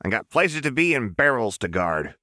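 An impatient, cheeky young woman in a storybook reading, as a male narrator voices her, quick and eager. Her voice is pitched well above the reader's own low tone, with a dry, close sound. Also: very animated, pushed and bright, dry recording.

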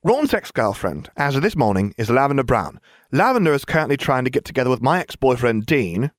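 A man voicing a brisk teenage girl in a storybook reading, rattling off gossip in a matter-of-fact way. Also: mid-range voice, sing-song, no room sound, clean studio sound, voice pushed up, close to an everyday voice.

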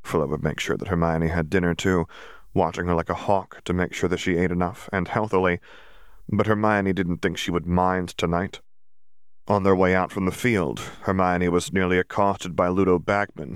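A man's voice: sits low, smooth, no room sound, clean studio sound. A man reading a fantasy story aloud as scripted audiobook narration.

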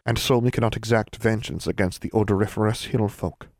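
A man's voice: on the low side, steady tone, brisk, creaky, a little mellow, voice pushed up. A man voicing a crafty, proud old male hill elf in an audiobook, with formal, old-fashioned phrasing. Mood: formal, wary. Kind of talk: audiobook character voice.

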